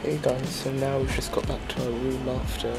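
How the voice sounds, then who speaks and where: A young man casually talking to his viewers in a travel vlog, relaxed and chatty. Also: medium pitch, moderate pace, vocal fry. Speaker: travel vlogger. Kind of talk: casual vlog talk to camera. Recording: loud music playing over the voice.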